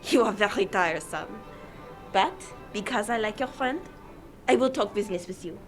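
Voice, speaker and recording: A woman's voice: mid-range voice, moderate pace, lightly acted. A woman playing a charming, worldly French society hostess in a radio drama, coquettish and playful. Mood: flirtatious. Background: music.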